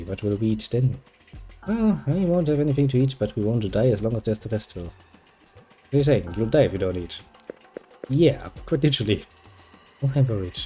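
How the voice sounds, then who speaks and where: Brief: man with a mellow, warm tone, reading game lines with deadpan sarcasm, faint game music behind. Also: medium pitch. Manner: dry sarcastic asides. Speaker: gameplay video host. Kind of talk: reading game dialogue aloud with joking commentary.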